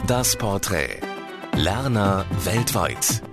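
Man, announcer, slow voice-over, very low, loud music behind. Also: sing-song. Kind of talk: program announcement.

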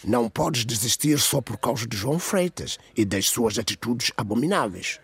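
A man's voice: mid-range voice, animated, moderate pace, gravelly, fairly bright tone, breathy edge, heavily acted. A man playing an earnest, insistent male police inspector in a radio drama, serious and pressing. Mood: urgent.

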